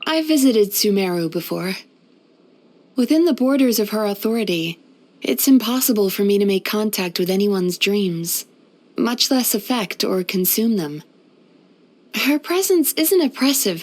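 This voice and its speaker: Soft-spoken yokai therapist lady for a game, composed and thoughtful, medium pitch. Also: lively intonation, normal speed. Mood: calm, reflective. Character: gentle, composed female dream-eating yokai therapist. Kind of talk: video game character voice lines.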